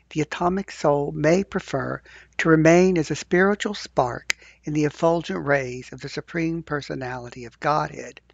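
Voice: medium pitch, creaky, no room sound. A reader with a mid-range voice leads a scripture class, reading aloud and chatting. The sound is very dry and slightly dull, as captured over online voice chat. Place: voice chat in an online virtual world.

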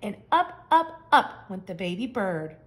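A woman reading a picture book aloud to young children, with expressive, simple and emphatic phrasing. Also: mid-range voice, unhurried. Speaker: children's story reader. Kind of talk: expressive storybook read-aloud.

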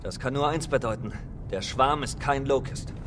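An actor playing a young, wisecracking soldier squadmate in a video game. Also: male voice, mid-range voice, normal speed, over music, close to an everyday voice. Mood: wry. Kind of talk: video game voice acting, German dub.

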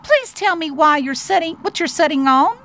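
A woman voicing a curious, wonder-struck little boy mouse in a storybook, squeaky and eager. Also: expressive, moderate pace, sharp tone, heavily acted. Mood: pleading. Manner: eager, wide-eyed questioning. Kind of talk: storybook character voice.

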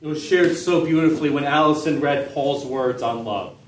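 A middle-aged man preaching a sermon in an open-air park pavilion, with measured delivery and phrases that trail off softly at the ends. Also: fairly high pitch, recorded from a distance, a little hiss or hum. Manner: measured preaching with falling, soft phrase endings. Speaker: pastor. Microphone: stand mic, filmed from a distance. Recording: echoey pavilion, voice from off-mic.

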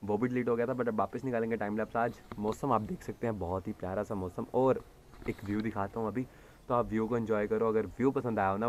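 A man's voice: fairly low pitch, darkish tone, slight background noise. A man, chatting casually and playfully to the camera as a vlogger, addressing viewers directly. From India. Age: 20s. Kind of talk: casual vlog chat to camera.

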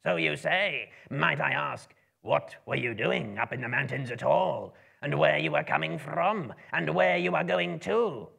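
A man voicing a huge, pompous, wrathful goblin king with a raspy, gravelly voice and a loud, accusing, drawn-out delivery. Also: fairly high pitch, pitched above the performer's own voice, exaggerated voice. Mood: suspicious, menacing.

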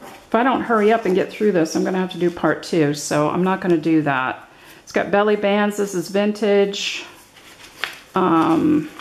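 An older woman giving a casual, unscripted show-and-tell as a craft vlogger, halting and thinking aloud as she goes. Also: medium pitch. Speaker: craft vlogger showing her handmade journals. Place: indoors at a table, hands-only overhead camera.

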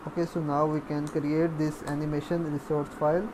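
A man giving a step-by-step screencast programming lesson in a halting way, as if thinking aloud while typing. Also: a little high, flat delivery, noisy background. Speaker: programming tutorial instructor. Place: voice-over recorded over screen capture.

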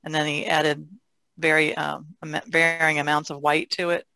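Older woman, creaky bright voice. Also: low voice, completely flat delivery, normal speed, no room sound. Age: older, about 60s. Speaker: painter, podcast guest. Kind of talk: casual explanatory chat.

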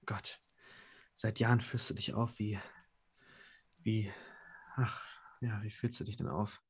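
A man's voice: lowish voice, little pitch movement, slow, slightly rough. Hesitant, anxious man improvising in character. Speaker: roleplay player. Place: attic room at home, online video call.

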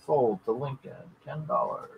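A man's voice: medium pitch, huge pitch swings, moderate pace, creaky, narrow, tinny audio, a little hiss or hum. A man hosting a casual live auction in a folksy, courteous way, thanking people like buddies. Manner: folksy, courteous, calls bidders buddy and brother. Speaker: auction host showing coins.

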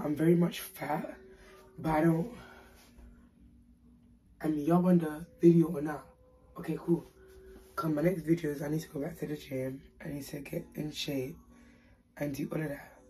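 A young woman with a deep, raspy voice, chatting playfully and slangily to camera in a small bedroom. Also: warm tone, slight background noise, low-quality recording. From United Kingdom. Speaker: haul vlogger. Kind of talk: casual chat to camera.

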